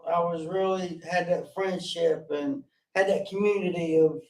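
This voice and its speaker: A man in his forties from North Carolina speaks in a loud, fairly high voice, telling his life story earnestly with plenty of restarts. He sits back from the mic in a roomy, echoing space. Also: steady tone, unhurried, distant mic. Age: 40s. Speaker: podcast guest.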